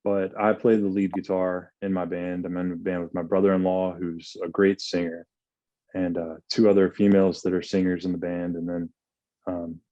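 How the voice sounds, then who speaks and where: A man who plays guitar, introducing himself modestly and relaxed on a video call panel. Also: low voice, normal speed, no room sound, highs cut off. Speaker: guitarist guest.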